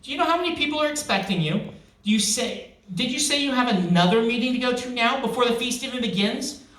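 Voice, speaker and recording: A man's voice: very high-pitched, expressive, fast, a bit bright, pitched above the performer's own voice. A man reading the part of an indignant queen who questions her husband insistently and with sarcasm. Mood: exasperated, sarcastic. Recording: echoey event room, distant microphone.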